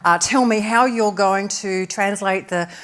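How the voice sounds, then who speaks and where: A woman's voice: medium pitch, unhurried, a bit bright. A woman moderating a panel discussion, conversational and a little hesitant.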